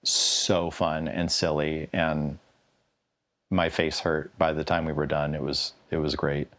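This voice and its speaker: A man's voice: a bass voice, little pitch movement, slow pace, a bit bright. A man in his thirties giving casual commentary in an indoor interview about a show he performs in. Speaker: cast member of a puppet show. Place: indoor studio interview setup.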